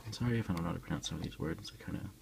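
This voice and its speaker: A man with a deep, creaky voice talks softly and casually in an ASMR style, often dropping into a whisper. He sounds like a host showing items to the viewer. Clear noise and small clicks sit behind his voice. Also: racing. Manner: hushed, often slipping into whispers. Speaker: unboxing video host. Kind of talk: soft-spoken ASMR, casual unboxing chat.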